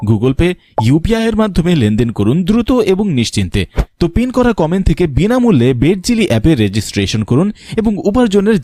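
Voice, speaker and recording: Lively male advertising announcer. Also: on the high side, no echo. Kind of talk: promotional ad read.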